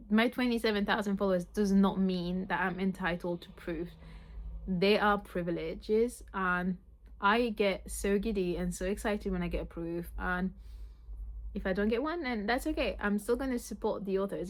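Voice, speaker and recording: A young woman whose first language is French, with an accent that mixes French and Yorkshire, chatting excitedly in a book vlog and saying "like" often. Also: medium pitch. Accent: French mixed with Yorkshire. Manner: chatty, says "like" a lot, excitable. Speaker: book vlogger.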